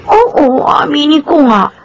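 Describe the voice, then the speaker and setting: A woman's voice: sits high, muffled recording, heavily acted. An actress playing a feisty, easily exasperated young woman in a Filipino radio drama, blunt and theatrical in her delivery.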